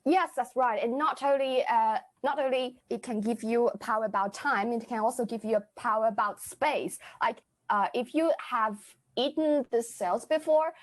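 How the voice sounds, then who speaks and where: A woman with a high voice explains an invented discovery in an improv game. She talks earnestly and carefully as a podcast guest.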